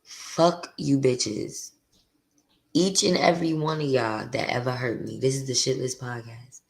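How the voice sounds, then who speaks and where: A woman with a deep voice hosting her podcast, venting bluntly and profanely in a candid, confessional monologue. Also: steady tone, unhurried, creaky, poor audio. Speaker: podcast host.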